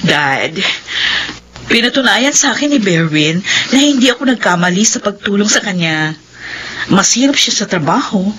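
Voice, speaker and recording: A woman's voice: medium pitch, animated, normal speed, fairly bright tone, slight background noise, lightly acted. An actress playing a loyal, self-assured young career woman defending herself as a daughter in a radio drama. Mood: defensive. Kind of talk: Filipino radio drama acting.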